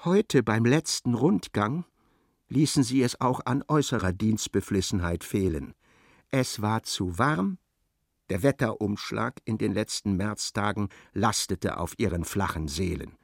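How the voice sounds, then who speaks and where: A man narrating a literary radio play in a measured, precise and dramatic way. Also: on the low side, lively intonation, creaky, breathy edge, no room sound, clean studio sound.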